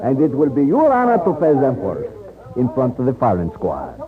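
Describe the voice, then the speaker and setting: A man's voice: medium pitch, sing-song, fairly quick, music in the background, close to an everyday voice. Man acting a suspicious Mexican rebel general, muffled radio. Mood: coolly menacing. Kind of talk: 1950s radio western acting. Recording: lo-fi old radio recording.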